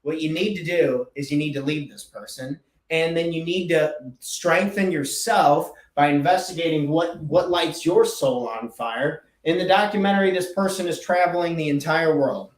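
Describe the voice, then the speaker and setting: A man's voice: a little high, normal speed, roomy sound, poor audio. A man hosting his own show, giving earnest, emphatic motivational talk and repeating his key words for stress. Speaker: podcast host and life coach. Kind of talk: casual motivational monologue.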